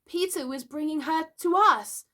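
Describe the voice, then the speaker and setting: A woman's voice: high voice, measured pace, pushed and bright, voice pushed up. A woman voicing simple, easily scared young boys in a storybook, exclaiming in awe. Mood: scared sorrow. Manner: exclaiming, awed.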